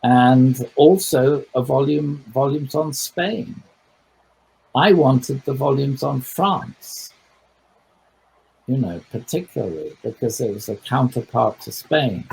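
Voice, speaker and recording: A man's voice: medium pitch, slow pace, gravelly, darkish tone, slightly rough, rough recording. A man speaking as a historian guest in a conversational book talk, thoughtful and digressive, with frequent hesitations.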